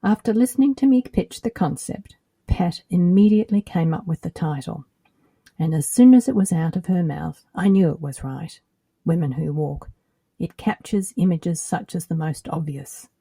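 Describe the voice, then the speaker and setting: A middle-aged woman with an Australian accent, hosting her own podcast in a measured, earnest scripted narration. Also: lowish voice, expressive, gravelly, dark tone, husky, no room sound. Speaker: podcast host.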